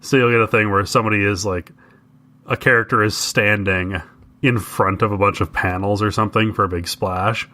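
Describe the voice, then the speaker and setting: A man's voice: fairly low pitch, steady tone, a little fast, pushed and bright. A man co-hosting a podcast, explaining things in casual conversation. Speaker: podcast co-host.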